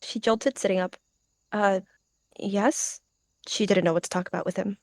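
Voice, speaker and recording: A woman's voice: fairly low pitch, steady tone, fast talker, vocal fry, a little mellow, no echo, studio quality. A woman narrating her character's actions in a third-person animal roleplay. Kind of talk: narrated text roleplay, read aloud.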